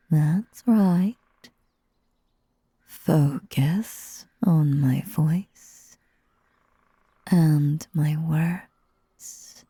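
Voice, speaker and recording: Slow, breathy, sinister magician played by a woman. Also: lowish voice, warm tone, no room sound, heavily acted. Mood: hypnotic, whispery. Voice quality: breathy, often slipping into whispers. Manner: theatrical, coaxing, drawn-out phrasing with dramatic pauses. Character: sinister, theatrical, possessive stage magician, sweetly menacing woman. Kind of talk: intimate roleplay audio acting, ASMR-like.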